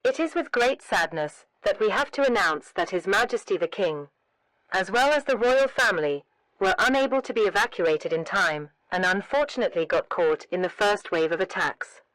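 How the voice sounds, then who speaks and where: A woman delivering a scripted emergency alert announcement in a formal, measured, solemn manner. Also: mid-range voice, expressive, a bit bright, no echo, low-quality recording.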